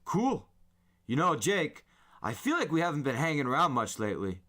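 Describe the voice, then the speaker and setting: A slyly friendly teenage big brother , played by a man narrating a storybook, breezy and probing. The voice sits raised and bright, with an animated, cajoling swing. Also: on the high side, moderate pace, pitched above the performer's own voice, full character voice.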